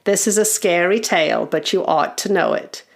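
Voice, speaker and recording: A woman's voice: fairly low pitch, normal speed, creaky. A woman playing a stern, foreboding older goblin uncle. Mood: ominous. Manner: commanding, dramatic, foreboding. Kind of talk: storybook character voice.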